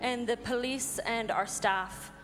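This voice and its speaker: A woman in her thirties from Ireland tells her story on stage in short, earnest, measured phrases, pausing after each one. A long hall reverb trails her voice, and clear music plays beneath. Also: a little high, fairly even intonation. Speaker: missionary guest. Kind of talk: testimony on stage. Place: large hall. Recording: echoey hall with music behind.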